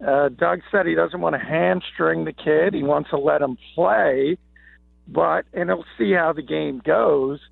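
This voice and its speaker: A slightly hoarse man, an NFL columnist calling in, gives confident, opinionated analysis with many "uh" fillers. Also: fairly high pitch, measured pace, dry recording. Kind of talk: sports radio analysis by phone. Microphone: phone. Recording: tinny dry phone line.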